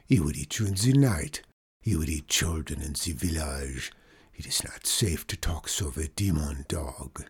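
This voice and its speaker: A man voicing a superstitious French trapper with a French accent, telling a ghost tale in a hushed, dramatic whisper. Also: rumbling low, expressive, moderate pace, husky, pitched below the performer's own voice, heavily acted. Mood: fearful whisper. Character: superstitious male French trapper, fearful storyteller.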